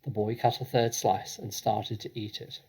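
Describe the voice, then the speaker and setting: Gravelly man reading a story. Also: fairly low pitch, little pitch movement, moderate pace, a little mellow, rough recording. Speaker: storybook reader. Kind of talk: expressive storybook narration.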